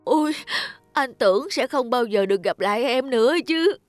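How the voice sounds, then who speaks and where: A woman's voice: a little high, animated, moderate pace, no echo, clean studio sound, faint music behind, lightly acted. A woman voicing a remorseful elder brother in a children's cartoon, contrite and earnest. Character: remorseful elder brother, reformed lazy drinker.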